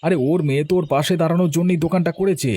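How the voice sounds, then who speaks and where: A man playing a good-natured village uncle in a cartoon, brisk, teasing and reassuring. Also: a little high, steady tone, dark tone, lightly acted. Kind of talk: Bengali cartoon dialogue acting.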